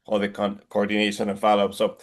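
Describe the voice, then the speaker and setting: A man in his forties with a Puerto Rican Spanish accent and a fairly low voice. He speaks earnestly and motivationally, restarting phrases as he goes. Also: monotone, quick pace. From Puerto Rico. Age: 40s. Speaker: real estate agent guest. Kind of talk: interview on video call.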